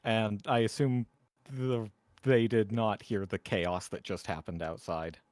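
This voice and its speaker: A man's voice: lowish voice, steady tone, a little slow, a little mellow, dry recording. A man playing a tabletop roleplaying game, talking casually in and out of character.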